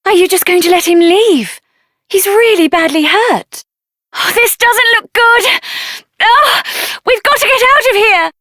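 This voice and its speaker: A woman voicing a spirited, headstrong young heroine in a fantasy video game, speaking in an earnest, expressive, plain-spoken way. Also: very high voice, a little slow, no echo, clean studio sound, full character voice. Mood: urgent, alarmed. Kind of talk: video game character voice acting.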